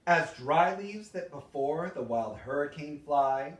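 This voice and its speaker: A man giving a theatrical poetry recitation as a storyteller, with dramatic, expressive delivery and deliberate pauses. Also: on the high side. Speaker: storyteller reading a Christmas poem. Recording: roomy echo, distant microphone.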